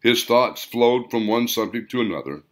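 A man reading a story aloud as dramatic, theatrical audiobook narration, with deliberate delivery. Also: mid-range voice, lively intonation, a bit bright.

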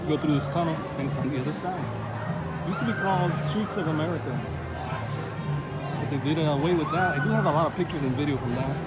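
A middle-aged man talks excitedly to his camera as he strolls through an outdoor theme park, pointing things out to his viewers. His voice sits a little high for a man. Loud music and crowd chatter fill the background of this arm's-length outdoor recording. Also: fast. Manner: chatty, enthusiastic, narrating as he walks. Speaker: vlogger. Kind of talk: casual walking-tour vlog. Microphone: handheld camera at arm's length.

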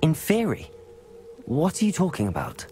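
A man playing a calm, cautious young student wizard in a video game, slightly husky. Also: a little high, normal speed, faint music behind. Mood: concerned. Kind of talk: video game dialogue acting.

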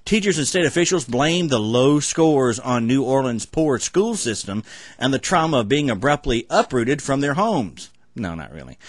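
A man's voice: mid-range voice, no echo. A middle-aged man hosting an amateur news show, reading stories casually with sarcastic comic asides. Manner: sarcastic, wry. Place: small home room at a desk. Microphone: desk microphone close in front.

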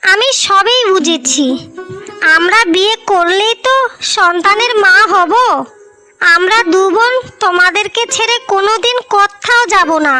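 A woman voicing a dutiful young elder daughter in a cartoon, earnest and gently chiding. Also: very high voice, heavily acted. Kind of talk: cartoon dialogue.

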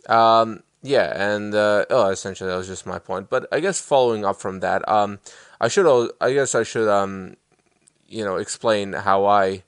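A man in his twenties from Uzbekistan, co-hosting a casual podcast and explaining at length with many pauses and restarts. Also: fairly low pitch, clear, resonant tone, no echo, clean studio sound. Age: 20s. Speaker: podcast co-host. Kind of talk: casual conversation.

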